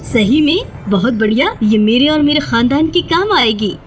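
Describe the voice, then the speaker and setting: A woman's voice: high-pitched, animated, soft background music. A woman voicing a clever, earnest young village boy in a cartoon, speaking in an eager, pleading way. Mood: delighted.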